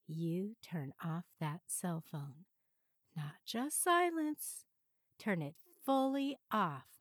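A woman's voice: fairly low pitch, animated, slow, dry recording, studio quality. A woman voicing a playful, flirtatious mistress in an audiobook, with a teasing manner.

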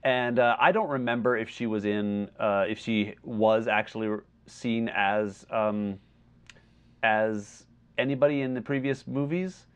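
A man co-hosting a podcast, discussing film casually and haltingly as he searches for his words. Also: lowish voice, fairly even intonation, smooth. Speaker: podcast co-host. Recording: slightly dull, lo-fi audio.

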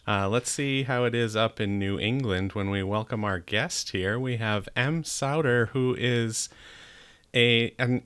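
A man's voice: fairly low pitch, fairly bright tone, clear, resonant tone. A middle-aged man hosting a podcast in a chatty, upbeat way, with the odd restart. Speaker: podcast host. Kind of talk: casual chat.

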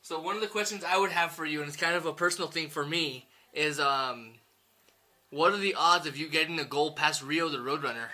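Male podcast host, a bit high and very bright, chatty and easygoing, rambling questions. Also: fairly quick. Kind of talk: casual interview chat.

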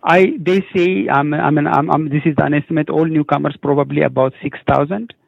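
Interview guest: middle-aged man, Ethiopian accent, creaky voice, halting repeated words, thin phone-like audio. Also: a little high, steady tone, no room sound. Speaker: interview guest, newcomer program staff. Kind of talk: unscripted radio interview. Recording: tinny phone-like band.

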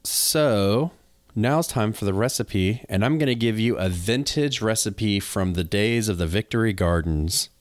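Man, lowish voice, very dry close sound, gardening co-host, casual, explanatory. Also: expressive, unhurried, dry recording. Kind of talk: casual unscripted co-hosted chat.

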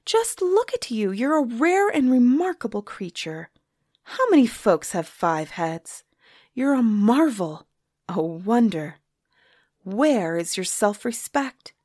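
A woman voicing a bold young village girl in a storybook tale, brisk and forthright. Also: fairly high pitch, very animated, dry recording, studio quality, pitched above the performer's own voice.

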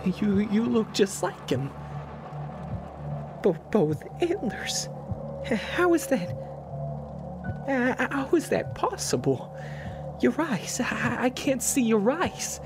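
A male actor plays a belligerent man cornered and scared out of his wits. The voice rides high and swings widely, stammering and slow to get words out. Loud dramatic music runs underneath, as in an audio horror drama. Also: big pitch swings, exaggerated voice. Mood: stunned dread. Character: panicked, belligerent man cornered by an eerie creature.

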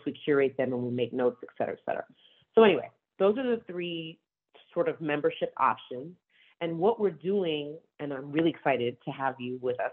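A woman talks casually and with enthusiasm, laughing now and then. Her voice comes through thin and tinny, like it's heard over a phone. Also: deep voice. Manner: enthusiastic, frequent laughs and asides. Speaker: wine bar owner. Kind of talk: casual conversation.

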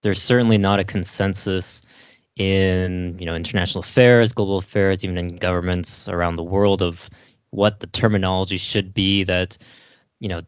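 Very deep-voiced man hosting a podcast. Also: clear, resonant tone, no echo. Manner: measured, searching for words, frequent "you know". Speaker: podcast host. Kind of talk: casual podcast discussion. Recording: dry, dull lo-fi sound.

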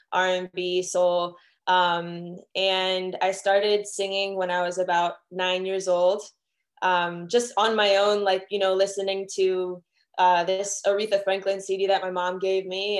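A young woman from Miami, a singer-songwriter, chatting casually as a guest with lots of "um" and "you know". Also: medium pitch. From Miami, Florida. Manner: chatty, many fillers and restarts. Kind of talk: casual interview answers.

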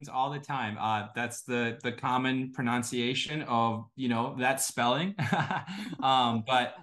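A man's voice: mid-range voice, fairly even intonation, slow, a bit bright. A man in his twenties from Estonia, answering interview questions casually with a conversational "you know" habit. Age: 20s. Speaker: guest, e-commerce entrepreneur.

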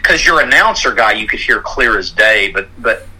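Man, Southern US accent, very sharp tone, husky, wry, casual chat. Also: fairly low pitch, expressive, normal speed, poor audio. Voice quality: loud, rough-edged.

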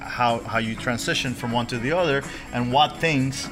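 A man hosting a podcast in casual chat, halting and repeating his words. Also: medium pitch, poor audio. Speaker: podcast host. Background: music.

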